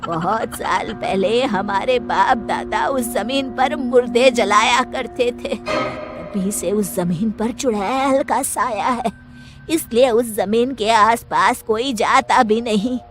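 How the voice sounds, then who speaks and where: A woman's voice: on the high side, slow, music in the background. A woman voicing a stern male village headman in a story, speaking with emphatic authority. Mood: angry.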